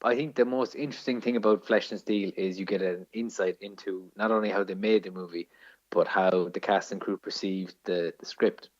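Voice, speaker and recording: A low-voiced Irish man chats about films as a podcast co-host. He explains things with a geek's enthusiasm and swears freely. The audio is a little dull and lo-fi. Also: steady tone, a little fast. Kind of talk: casual film discussion.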